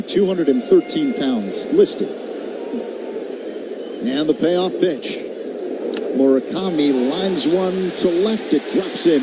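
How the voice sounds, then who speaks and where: An American man calling a live baseball game on the radio as play-by-play announcer, with ballpark ambience behind him. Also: fairly high pitch. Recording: outdoor ballpark broadcast with clear ambient noise. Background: outdoor stadium ambience.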